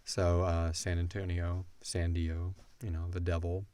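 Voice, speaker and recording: A man's voice: low voice, monotone, unhurried, mellow, clear, resonant tone, no echo. A man co-hosting a casual question-and-answer podcast about an audio drama, informal and slangy as he thinks aloud.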